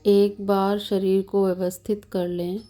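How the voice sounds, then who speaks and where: A woman leading a guided yoga nidra meditation as the instructor, giving calm, measured, soothing instructions with long pauses between them. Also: medium pitch, soft background music. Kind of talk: slow guided meditation narration.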